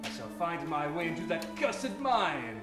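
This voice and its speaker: Pompous, swooping radio villain. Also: male voice, fairly high pitch, big pitch swings, unhurried. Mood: commanding, sinister. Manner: pompous, florid diction. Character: sly, grandiose villainous hypnotist with supernatural specialty. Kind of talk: old-time radio comedy acting before an audience. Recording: roomy, distant stage mic, loud music.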